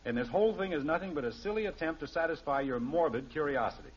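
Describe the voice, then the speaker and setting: A man's voice: fairly high pitch, quick pace, natural voice. An actor playing an exasperated, blustering middle-aged American father in a 1950s radio sitcom, speaking emphatically. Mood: reproving. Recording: dull, noisy old radio recording.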